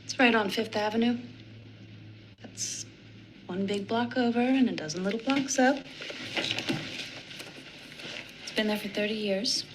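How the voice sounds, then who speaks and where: An actress playing a poised, sharp female department store owner who speaks in a cool, pointed and direct way in a TV drama. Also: a little high, a little slow, long pauses, noisy background, natural voice. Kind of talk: 1960s TV drama acting.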